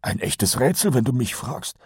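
A man's voice: big pitch swings, moderate pace, a little mellow, breathy edge, no room sound, studio quality. A man doing an eager, worried sergeant. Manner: eager, higher-pitched, a bit worried. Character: anxious, dutiful male sergeant.

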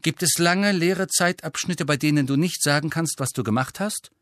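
A man's voice: on the high side, normal speed, fairly bright tone, no room sound, voice pushed up, natural voice. A male narrator voicing a blunt, cool, matter-of-fact younger teenage girl in an audiobook.

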